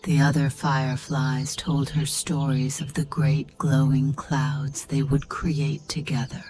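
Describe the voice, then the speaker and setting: Very low, slow, breathy woman narrator. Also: level tone, faint music behind. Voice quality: soft, breathy, half-whispered. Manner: soothing, gentle, measured. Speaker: sleep story and meditation narrator. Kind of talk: guided meditation and bedtime story narration.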